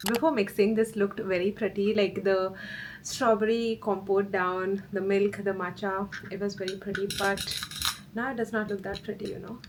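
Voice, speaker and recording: A woman's voice: mid-range voice, fairly even intonation, normal speed. Chatty female vlogger, noisy room. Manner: informal, chatty, frequent "like" as filler. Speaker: lifestyle vlogger. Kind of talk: casual vlog chat.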